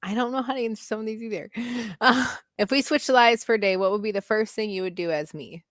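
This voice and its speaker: A woman's voice: on the high side, brisk, no room sound, studio quality. A woman hosting a casual chat, reading out party questions and thinking them over aloud with hums and giggles, over a video call. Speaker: host.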